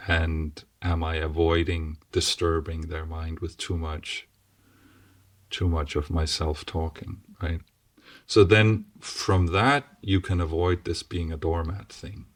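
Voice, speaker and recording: A man with a deep, strong voice, a podcast guest explaining ideas earnestly and often checking in with "right?". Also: no echo, far from the mic. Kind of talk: casual explanatory conversation.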